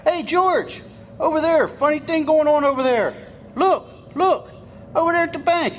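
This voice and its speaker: An actor playing a folksy small-town taxi driver with a drawl, in a live amateur radio drama. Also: male voice, very high voice, normal speed, a little hiss or hum. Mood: excited.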